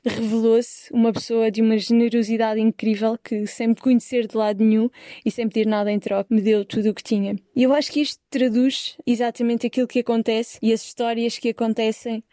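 Mellow-voiced Portuguese woman telling a story. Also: fairly high pitch, level tone, brisk, dry recording, clean studio sound. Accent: European Portuguese. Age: 20s. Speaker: guest sharing a travel story. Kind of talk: recorded personal testimony.

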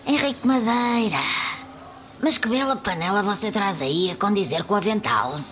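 A woman's voice: medium pitch, animated, normal speed, fairly bright tone, natural voice. A woman playing a gushing, effusive country guest at a party in a radio soap opera.

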